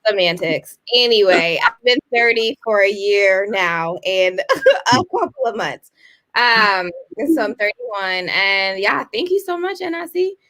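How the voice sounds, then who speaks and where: A woman in her thirties co-hosting a casual chat show, telling stories animatedly and jumping up high when she exclaims. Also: expressive, unhurried, bright, forceful tone, low-quality recording. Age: 30s. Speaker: co-host.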